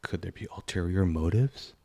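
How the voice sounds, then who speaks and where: A man's voice: sits low, moderate pace, vocal fry, mellow, slightly rough. A man with an American accent chatting casually as a podcast co-host, in a slangy, informal way with free swearing. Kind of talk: casual conversational chat.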